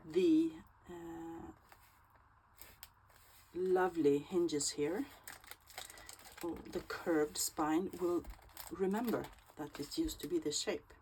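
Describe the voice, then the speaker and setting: A woman with a Swedish accent talks through a craft project at a slow, unhurried pace. Her voice sits fairly low, and she pauses often with fillers. A faint hiss runs under the recording. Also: steady tone, mellow, low-quality recording. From Sweden. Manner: slow, chatty, hesitant with fillers. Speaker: crafting channel host. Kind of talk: casual craft tutorial.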